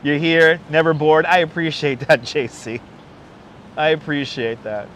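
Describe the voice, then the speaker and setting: A man's voice: a little high, unhurried, fairly bright tone. A man from Brooklyn with a faint New York accent, hosting a casual livestream outdoors on a city street and rambling as he thanks viewers by name on a clip-on mic. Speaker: livestream host. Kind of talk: casual live-stream chat while out in the city. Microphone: wireless clip-on mic. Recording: street noise in the background.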